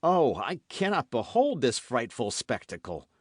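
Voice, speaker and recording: Figure: young man, torn and sorrowful, voiced by a man. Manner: earnest, pained. Pitch: a bit above the reader's own. Sound: dry. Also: wildly swooping pitch, unhurried, no echo. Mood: anguished.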